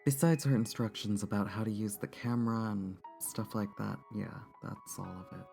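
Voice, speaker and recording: A composed, kindly woman played by an actress in an audio drama, with a mellow voice. She speaks in a measured, careful, sympathetic way, with music underneath. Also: a bass voice, fairly even intonation, creaky. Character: composed, kindly woman reading a final letter.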